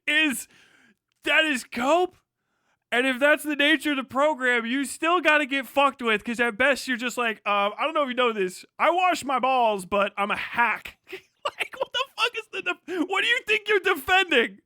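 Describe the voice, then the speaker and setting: A man's voice: very high voice, expressive, normal speed, bright, forceful tone, dry recording, studio quality. A man giving casual, mocking live reaction commentary as a streamer, incredulous and heavily profane.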